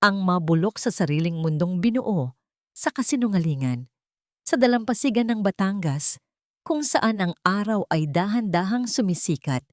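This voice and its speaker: A woman narrating a melodramatic audio story in a scripted storytelling style. Her delivery is expressive, and her pitch swings up and down for emphasis. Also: no echo, studio quality.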